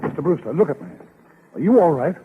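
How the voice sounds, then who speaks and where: An actor playing an earnest, fussy lawyer in 1930s radio comedy, speaking formally and carefully. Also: male voice, on the high side, expressive, quick pace, noisy background, natural voice. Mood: concerned. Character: earnest, fussy male lawyer breaking news gently. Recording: muffled old 1930s radio recording.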